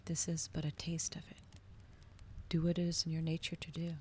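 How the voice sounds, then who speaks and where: A woman doing a soft, whispered ASMR reading as a streamer, slow and breathy, in a hushed manner. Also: low-pitched, monotone, a little hiss or hum. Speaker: streamer host reading aloud.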